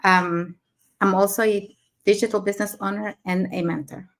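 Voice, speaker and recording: A woman from Mexico co-hosting a talk show, pausing with "um" between names. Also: mid-range voice, steady tone. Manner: hesitant, with "um" pauses. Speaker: podcast co-host.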